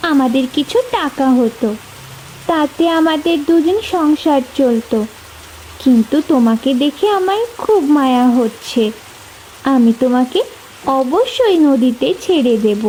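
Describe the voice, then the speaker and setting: A woman's voice: sits high, animated, unhurried, a little mellow, slight background noise, poor audio. A young woman playing an earnest, determined, tender-hearted village girl in a cartoon, with emotive delivery. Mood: sad, tender. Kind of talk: cartoon voice acting.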